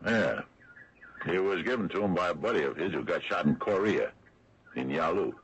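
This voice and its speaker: A man's voice: low voice, lively intonation, moderate pace, a bit bright, a little hiss or hum. A man playing a skeptical small-town sheriff in a radio drama, laconic and grudging. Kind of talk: 1970s-80s radio drama acting. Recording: slightly muffled old radio recording.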